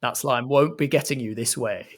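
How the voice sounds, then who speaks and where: A man's voice: medium pitch, normal speed. A man narrating an improvised comedy story as its director, in a dramatic storytelling style.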